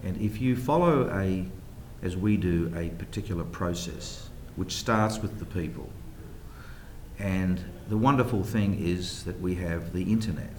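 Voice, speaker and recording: Deep-voiced New man, echoey room. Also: unhurried, darkish tone, slight background noise. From New Zealand. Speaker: guest, private equity investor. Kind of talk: radio interview at a banquet. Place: banquet hall.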